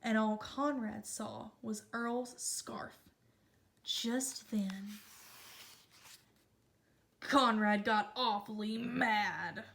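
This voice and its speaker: A woman reads a children's picture book aloud. Her voice sits high, and she narrates with expression as a storyteller. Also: fairly even intonation, drawn-out words, creaky, pauses often, a bit bright. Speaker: storybook reader.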